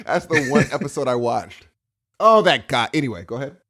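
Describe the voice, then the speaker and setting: A man's voice: mid-range voice, lively intonation, a little fast, a bit bright, a little hiss or hum. A man co-hosting a podcast in casual, rambling, joking chat. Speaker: podcast co-host.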